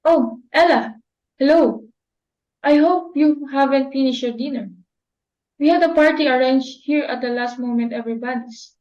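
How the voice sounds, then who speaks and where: Young woman as a sensible daughter, earnest, practical, high voice, dull lo-fi sound. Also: normal speed, darkish tone, close to an everyday voice. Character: sensible young daughter helping her mother. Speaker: student actor. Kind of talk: amateur dramatic reading. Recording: dull lo-fi recording.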